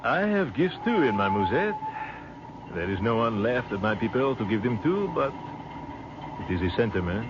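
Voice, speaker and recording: A man acts a courteous French army captain in an old radio play, with a French accent and gently philosophical, formal phrasing. His low voice rises and falls widely, full of wistful sentiment. The vintage recording is dull, with clear noise over it. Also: very animated, normal speed, lightly acted. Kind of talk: old-time radio drama acting.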